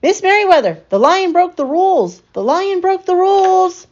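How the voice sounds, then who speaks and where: A woman voicing a fussy, rule-bound male library clerk from a picture book, officious and excitable. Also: extremely high pitch, moderate pace, dull audio, pitched above the performer's own voice. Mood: outraged, shrill.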